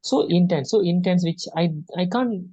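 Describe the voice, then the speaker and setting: A man from Malaysia hesitantly sharing his experience in a coaching session, often restarting and filling pauses. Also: sits high, warm tone, no room sound. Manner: hesitant, many restarts and fillers. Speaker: session participant. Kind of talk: halting personal sharing. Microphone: video call. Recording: dull lo-fi call audio.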